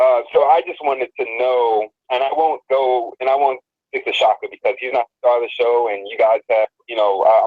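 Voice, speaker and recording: An American man calls in over a tinny, phone-like line with a dry sound. He talks in a rambling, hesitant way, often restarting his sentences, at an ordinary pitch. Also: dry recording. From United States. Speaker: caller. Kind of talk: casual call-in talk.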